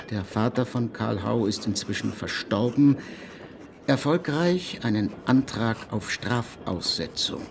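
Radio play: self-assured 1920s expert lecturer, formal, deliberate delivery, faint noise behind. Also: male voice, medium pitch. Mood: formal, factual. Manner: formal, measured, pronouncing judgement.

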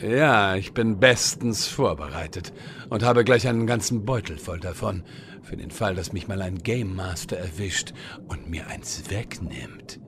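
A man's voice: sits low, unhurried, a bit bright, slight background noise. A man playing a smug, scheming wizard with a condescending manner in a comedic audio drama. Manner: condescending, mock-solemn. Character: smug, scheming male wizard in online game parody.

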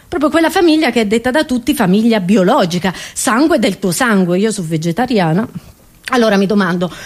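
A middle-aged woman with an Italian accent performing a scripted comic monologue in a theatrical, expressive, sardonic manner. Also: mid-range voice. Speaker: comedian.

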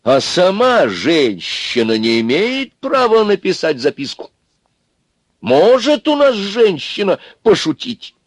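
A man's voice: high-pitched, big pitch swings, dry recording, voice pushed up, exaggerated voice. Man putting on a haughty, indignant woman. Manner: haughty, drawn-out.

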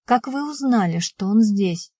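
A woman's voice: mid-range voice, expressive, a little slow, dark tone, breathy edge, dry recording, studio quality. Narrator as a composed woman breaking bad news. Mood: calm, hesitant. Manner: composed, hesitant.

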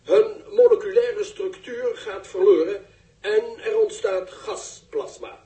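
A man's voice: high voice, huge pitch swings, slow pace, warm tone, exaggerated voice. An actor playing an eager male television reporter, giving florid, dramatic live commentary on a spaceship in a sixties radio drama. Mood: hushed, explanatory. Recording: echoey, distant old radio recording.

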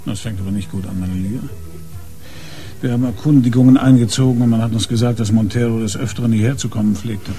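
Male police inspector played by an actor, radio crime drama: medium pitch, curt, bossy, sly, faint music underneath. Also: steady tone, fast, warm tone, close to an everyday voice. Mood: stern, probing. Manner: curt, commanding, mocking. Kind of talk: radio play acting.

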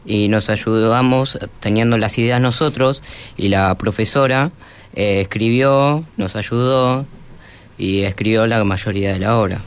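A teenage boy with an Argentine accent giving halting, casual answers as a student guest on the radio. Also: lowish voice, clean tone.

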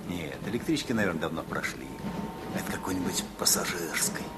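An actor playing a tender, plain-spoken middle-aged husband with a very husky, breathy voice and sincere manner in a radio play. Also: male voice, low-pitched, lively intonation, brisk, heavy background noise.

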